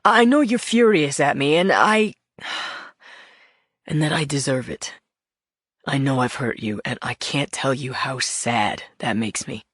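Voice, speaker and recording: A woman reading aloud, doing a husband with a deep, low voice, soft and apologetic, asking to be trusted. He sounds close and dry, with no room around him. The read is a storybook audiobook. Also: takes it slowly, pauses often, breathy edge, no echo, full character voice. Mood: pleading. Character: troubled husband, middle-aged man, pleading and secretive.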